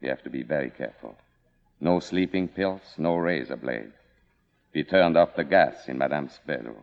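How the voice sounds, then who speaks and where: A man playing a grave, formal, protective manservant in a mid-century radio drama, speaking with measured deference. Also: rumbling low, gravelly. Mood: grave, confiding. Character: solemn, formal, protective manservant to a faded star. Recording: dull vintage radio drama recording.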